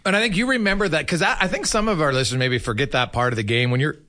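A male sports radio host with a bright, forceful voice and a very quick pace. He chats his way through a live interview, rambling a little as he works toward each question. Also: fairly high pitch.

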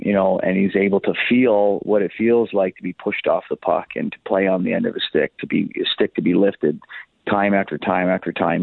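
A man speaking as a hockey head coach in a radio interview, explaining in a halting way and repeating words as he goes. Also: low voice, vocal fry, husky, no room sound. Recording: phone-like thin band-limited line.

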